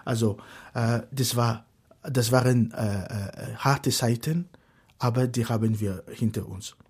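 A man whose first language is French, speaking on a panel in halting sentences with many fillers. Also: mid-range voice, darkish tone, husky. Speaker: panel guest, language researcher. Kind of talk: panel discussion.

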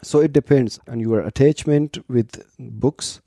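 A man's voice: mid-range voice, a little slow, gravelly, dark tone, no echo, studio quality. A man from Pakistan in his thirties, a native Pashto speaker, answering interview questions in English at length, explaining patiently with frequent fillers and restarts. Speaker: interview guest, doctoral student.

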